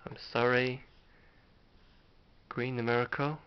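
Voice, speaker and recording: A man's voice: medium pitch, level tone, takes it slowly, clear, resonant tone. A middle-aged British man talking casually and unscripted in a hesitant, rambling, understated way, like a host showing off a collection. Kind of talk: casual unscripted show-and-tell vlog. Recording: dull lo-fi home recording.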